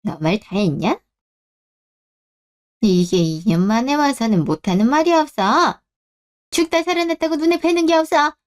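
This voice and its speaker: A woman's voice: sits high, expressive, normal speed, long pauses. A woman voicing a domineering older mother-in-law in a radio drama, speaking in a scolding, accusing way. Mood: furious. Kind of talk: Korean radio drama acting.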